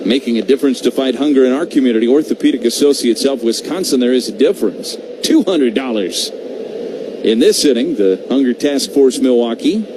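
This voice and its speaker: Man calling baseball over noisy radio. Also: a little fast. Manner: excited home-team calls, conversational between pitches. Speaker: baseball play-by-play announcer. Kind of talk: live radio play-by-play commentary. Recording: noisy live radio broadcast feed.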